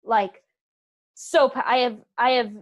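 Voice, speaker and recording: A woman's voice: fairly high pitch, steady tone, slow. A young woman giving conversational interview answers in an effusive, emphatic way. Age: young adult. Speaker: interview guest, theatre company co-founder.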